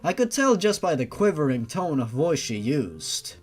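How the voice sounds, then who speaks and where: Animated story narrator over music. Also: medium pitch, moderate pace. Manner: theatrical, irreverent. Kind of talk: dramatic fan fiction reading with mocking asides.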